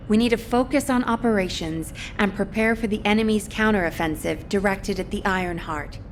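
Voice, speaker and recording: An actress playing a composed, businesslike operations officer in a video game, giving orders in a firm, formal, deliberate way. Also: female voice, medium pitch, slight background noise, close to an everyday voice. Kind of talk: scripted video game dialogue.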